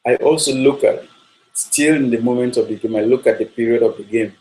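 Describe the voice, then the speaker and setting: A man from Nigeria, a football coach giving a measured talk on his coaching philosophy with frequent fillers. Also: mid-range voice, darkish tone, a little hiss or hum, poor audio.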